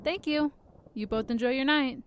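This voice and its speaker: A woman's voice: mid-range voice, a little fast, slight background noise, natural voice. A woman playing a friendly, polite security officer who welcomes guests in an audio drama.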